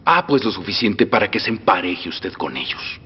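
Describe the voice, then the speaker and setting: An actor with a Mexican accent dubbing a middle-aged revolutionary general who teases, threatens and bursts into loud laughs. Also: male voice, on the low side, sing-song, normal speed, slightly rough, full character voice. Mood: menacing. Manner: teasing, swings from soft menace to loud outbursts. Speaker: dubbed film character. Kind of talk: film dubbing. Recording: dull film soundtrack with long reverb and faint music.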